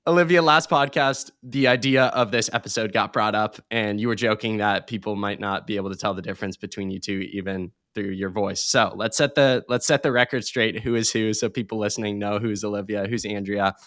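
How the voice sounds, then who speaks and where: A man hosting a podcast, asking questions and telling stories in a relaxed, rambling way. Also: lowish voice, fairly quick, hardly any pauses, dry recording, clean studio sound. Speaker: podcast host. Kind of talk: casual interview.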